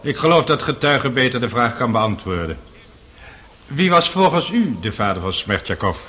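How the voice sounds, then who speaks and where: Radio play: presiding judge, mid-pitched, forceful, formal, varied intonation. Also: male voice, sing-song, normal speed. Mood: authoritative. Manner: formal, controlled. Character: authoritative male presiding judge. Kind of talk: radio drama acting.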